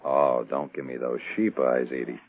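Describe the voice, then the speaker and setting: A man's voice: extremely low pitch, little pitch movement, moderate pace, phone-quality sound. An actor playing a cocky, scheming male crook in the manner of 1940s radio drama. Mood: sly, flirting. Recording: band-limited 1940s radio recording.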